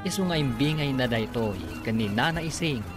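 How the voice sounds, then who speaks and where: A man gives scripted narration for a radio drama. His voice has a middle pitch, and music plays clearly underneath it. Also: brisk.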